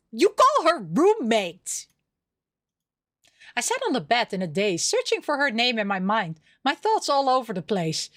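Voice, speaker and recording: A woman reading a story game aloud expressively, dropping in casual asides, with an animated delivery. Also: on the high side, no room sound, clean studio sound. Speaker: streamer reading a visual novel aloud.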